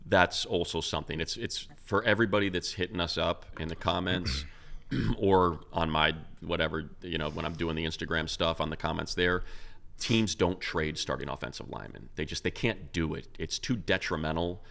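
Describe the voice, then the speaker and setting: Male sports co-host, American, low-pitched with heavy fry, emphatic and conversational football analysis. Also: normal speed, fairly bright tone. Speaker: sports radio announcer and podcast co-host. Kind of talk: casual football analysis chat.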